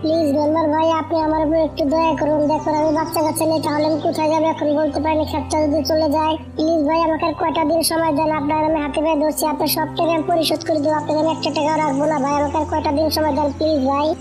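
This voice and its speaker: A woman's voice: high voice, flat delivery, very fast, hardly any pauses, close to an everyday voice. A woman voicing an anxious, pleading mother tailorbird in a cartoon, earnest in manner. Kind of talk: cartoon character dialogue. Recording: music behind the voice.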